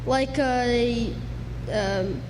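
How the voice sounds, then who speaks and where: Woman as high-voiced earnest frontier boy. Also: extremely slow, a little mellow. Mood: earnest, uneasy. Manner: earnest, emphatic storytelling. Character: earnest, bookish frontier boy, insistent and excitable. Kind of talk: live Western radio drama acting. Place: live stage performance indoors. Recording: noisy live stage, reverberant hall.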